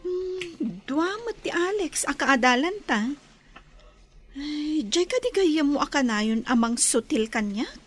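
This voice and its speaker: A woman's voice: high-pitched, big pitch swings, slow pace, exaggerated voice. A woman playing a sweet young village woman in an Ilocano comedy radio drama, with an Ilocano Filipino accent. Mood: affectionate, teasing. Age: young adult. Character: sweet young village woman attached to her childhood friend.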